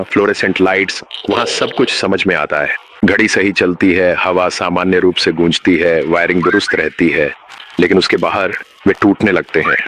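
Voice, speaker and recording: A man playing a grave, menacing emergency announcer in a scripted horror broadcast, speaking in a measured way. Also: sits low, fairly bright tone, slight background noise. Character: ominous male emergency broadcast announcer warning of uncanny teachers. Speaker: narrator.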